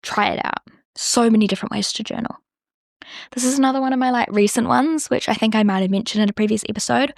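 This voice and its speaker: A woman's voice: a little high, quick pace, gravelly, dry recording, clean studio sound. A young woman with a New Zealand accent, hosting a podcast in a chatty, rambling way full of "like". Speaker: podcast host. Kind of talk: casual solo podcast chat.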